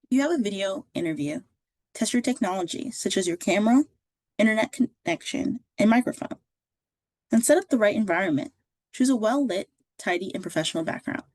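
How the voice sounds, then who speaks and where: A woman's voice: mid-range voice, animated, brisk, darkish tone, no room sound. Woman narrating instructional slides, dry close sound. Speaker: career services presenter.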